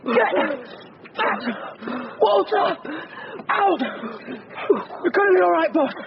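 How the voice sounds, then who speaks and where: A man's voice: very high-pitched, lively intonation, takes it slowly, gravelly, full character voice. An actor playing a desperate male assistant in a radio drama, voice strained and near tears. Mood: frantic, pleading. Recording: muffled noisy radio drama recording.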